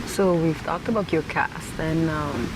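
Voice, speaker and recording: A woman hosting a talk show, asking questions in a casual interview style. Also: a little slow. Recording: noticeable hiss and rumble behind.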